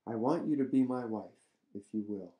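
A man's voice: medium pitch, moderate pace, mellow, echoey room, close to an everyday voice. A man voicing a regal young tsar in a folk tale he reads aloud.

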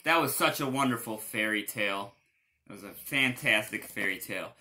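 A man, reading a children's storybook aloud in an animated style. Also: fairly low pitch, moderate pace. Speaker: storybook reader.

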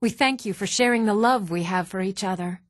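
An actress playing an even-toned, earnest young townswoman in a fantasy video game, with measured delivery. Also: medium pitch, no room sound, studio quality, natural voice. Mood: gracious, festive. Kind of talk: video game character voice lines.

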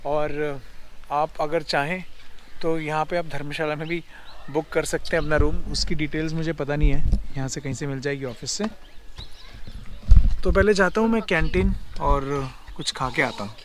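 A man's voice: fairly high pitch, normal speed, background noise. A man chatting casually as a travel vlogger, explaining things to viewers in mixed Hindi and English. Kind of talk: casual travel vlog chat.

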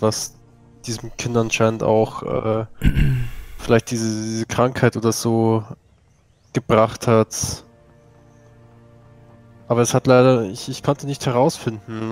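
A man's voice: medium pitch, darkish tone. A man speaking in character in a tabletop role-playing game, hesitant and searching for words.

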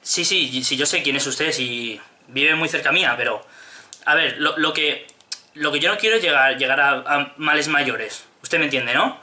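Bright, higher-voiced young man from Spain. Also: moderate pace, a little hiss or hum. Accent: Spanish from Spain. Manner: insistent, straight-faced complaining. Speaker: prank caller. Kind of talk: improvised prank phone call. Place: small home room.

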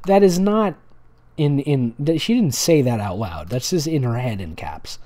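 A man's voice: mid-range voice, animated, moderate pace, warm tone. A man reading amateur fan fiction aloud sarcastically, pointing out typos and breaking off for casual commentary.

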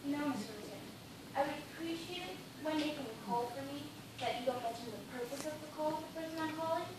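A woman's voice: high-pitched, quick pace, lightly acted. A young woman on stage playing an imperious, exasperated, self-pitying boss, commanding and clipped. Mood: defensive, irritated. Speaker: stage actor. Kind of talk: live stage play acting. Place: indoor theatre stage. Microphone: distant audience camera. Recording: echoey, noisy theatre recording from far off.

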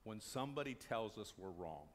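A man's voice: lowish voice, expressive, moderate pace. An older man preaching a sermon in a small church, talking to the congregation in a conversational way and answering his own questions. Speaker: pastor. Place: small church sanctuary, indoors.

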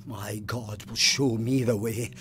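An actor playing a pious, stubborn, haunted police sergeant in an audio drama, speaking in an intense, formal, demanding way. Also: male voice, fairly low pitch, fairly even intonation, takes it slowly, fairly bright tone, a little hiss or hum. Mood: defiant. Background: music.

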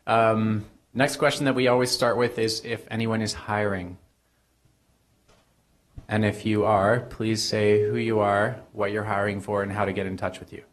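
A young man hosts a live event through a handheld mic on a small event stage with an audience. His voice is somewhat low, and he moves things along in a brisk, upbeat way. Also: little pitch movement. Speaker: event host.

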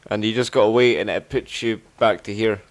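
A man's voice: on the low side, fairly even intonation, brisk. A man with a Scottish accent giving casual, sweary, rambling commentary as he plays a video game. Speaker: gaming video creator.

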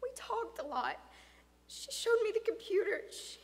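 Woman voicing a high-pitched, frightened young boy. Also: lively intonation, in a large hall, heavily acted. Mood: nervous, pleading. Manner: halting, stammering delivery. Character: frightened, grieving young boy in hiding, earnest and defensive. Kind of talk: dramatic audiobook character acting.

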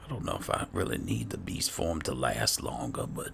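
Very deep, gravelly man muttering over gameplay. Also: expressive, normal speed, a bit bright, a little hiss or hum. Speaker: gaming video host. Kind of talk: in-character roleplay game commentary. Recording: game music under the voice. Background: video game music.

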